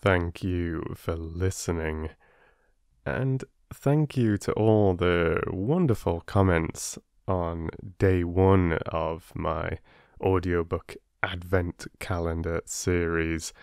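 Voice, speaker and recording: A man working as a storyteller and host, speaking with measured, deliberate phrasing as if reading to listeners. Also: deep voice, vocal fry, a little mellow, dry recording, clean studio sound. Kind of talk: expressive storybook narration and listener address.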